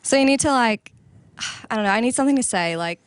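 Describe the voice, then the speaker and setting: A woman musician being interviewed on radio, self-deprecating and quick to laugh. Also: fairly high pitch, animated, clean tone. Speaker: musician guest.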